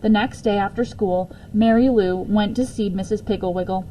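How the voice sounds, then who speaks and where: Casting: female story reader, medium pitch, expressive children's book narration, faint background noise. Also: normal speed, low-quality recording. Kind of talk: children's book read-aloud.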